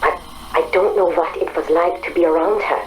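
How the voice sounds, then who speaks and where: An actress playing an earnest, probing female artificial intelligence with a calm, deliberate manner in a video game. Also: fairly low pitch, a little hiss or hum, lightly acted, rough recording. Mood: earnest, pleading. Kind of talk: dramatic game dialogue acting.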